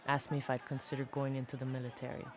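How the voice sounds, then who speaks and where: A woman's voice: extremely low pitch, flat delivery, fast, a crowd in the background. Casting: street-raised female soldier, reflective and confiding video game log, slight background noise. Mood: wry, proud. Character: young female special forces soldier, tough favela-raised street kid. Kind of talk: dramatic first-person audio log narration.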